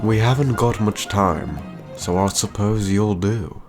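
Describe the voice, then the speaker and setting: A solemn guardian of the cosmos acted by a man in an audio drama. The voice is low and very slow, weary and formal, with prominent music behind it. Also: natural voice. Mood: grave. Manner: grave, measured, formal. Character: solemn, weary male alien cosmic guardian.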